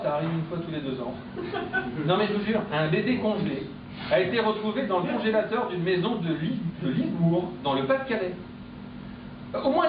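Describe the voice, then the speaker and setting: A man doing stand-up, reading mock news headlines with punchy deadpan punchlines in a live club. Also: sits high. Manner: punchy deadpan joke delivery. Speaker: comedian. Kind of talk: stand-up comic press review. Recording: echoey, distant, dull live-club recording with noise.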